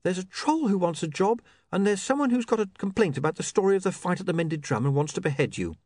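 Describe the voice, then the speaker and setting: Man voicing a high, prim, nervous young woman. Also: lively intonation, fast, a little mellow, no room sound, studio quality. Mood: brisk, hesitant. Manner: polite, hesitant, high.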